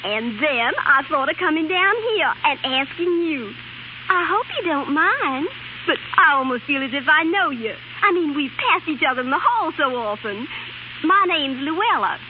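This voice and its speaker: A lively young lady of 1930s radio drama. Her voice is high and leaps about expressively. It comes through a tinny old broadcast, with music underneath. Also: moderate pace, slight background noise. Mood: breathless, flustered. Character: lively young women, a ditzy neighbor and a loving wife.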